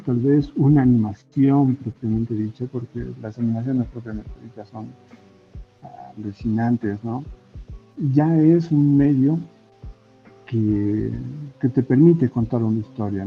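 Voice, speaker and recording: A man's voice: mid-range voice, expressive, unhurried. A Bolivian man speaking Spanish as an interview guest, thoughtful and hesitant, often checking in with short tag questions. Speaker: guest, comic artist and illustrator. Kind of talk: casual interview conversation. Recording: muffled lo-fi audio with faint music. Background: faint background music.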